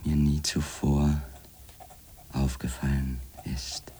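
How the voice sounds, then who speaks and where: Very deep, slow, brooding man. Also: level tone, dark tone, slight background noise. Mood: brooding, pleading. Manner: halting, brooding, grave. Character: obsessed, solitary male journalist writing to his wife. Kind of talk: radio drama letter monologue.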